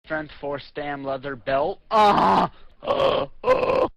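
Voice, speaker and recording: Creaky, slow, highish male gamer voice. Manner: repeats phrases with loud cries. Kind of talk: repetitive chanting over game voice chat.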